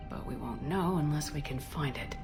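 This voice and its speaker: An actress playing a tough, commanding military officer in a video game, blunt and authoritative. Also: female voice, low-pitched, moderate pace. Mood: blunt, steely. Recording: music under the voice.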